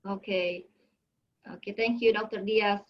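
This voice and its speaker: A woman moderating a webinar, speaking politely and haltingly over a web call. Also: medium pitch, steady tone. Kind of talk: question and answer moderating. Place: online video call. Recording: dull lo-fi web call audio.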